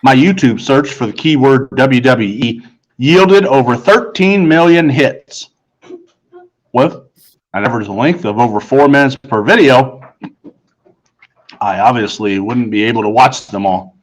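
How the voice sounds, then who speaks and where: A man's voice: mid-range voice, expressive, a little slow, poor audio. A man reading a first-person children's story aloud as scripted narration. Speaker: audiobook reader.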